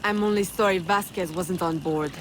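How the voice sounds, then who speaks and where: A woman playing a resolute, composed young female assassin in a video game, speaking in a measured way. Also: on the low side, fairly bright tone, slight background noise, natural voice. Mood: determined. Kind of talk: video game cutscene acting.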